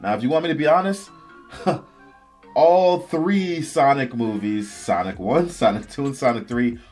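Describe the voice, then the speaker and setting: A man's voice: medium pitch, a little slow, darkish tone, faint music behind. A man hosting a video, giving casual, opinionated commentary on entertainment news in informal, slangy language. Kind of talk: casual commentary, reading an article aloud.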